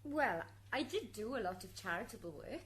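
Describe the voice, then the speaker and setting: A woman's voice: mid-range voice, lively intonation, moderate pace, a bit bright, lightly acted, low-quality recording. A woman acting a self-righteous mother on trial, answering firmly and defensively in an amateur radio drama. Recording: hissy amateur recording, background noise.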